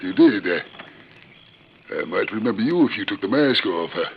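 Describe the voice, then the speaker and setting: An older western rancher, grave and hesitant. His lowish voice rises and falls freely in folksy, halting speech on a dull old radio recording. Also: lively intonation, slight background noise. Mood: uneasy. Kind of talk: 1940s radio western acting. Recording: muffled 1940s radio recording.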